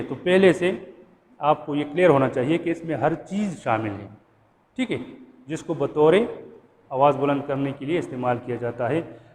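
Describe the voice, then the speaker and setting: A man's voice: on the high side, a little mellow. A man with a Pakistani accent giving an explanatory law lecture, didactic, repeating points and checking that listeners follow. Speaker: law instructor. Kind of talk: explanatory lecture going through a statute clause by clause. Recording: reverberant room with background noise.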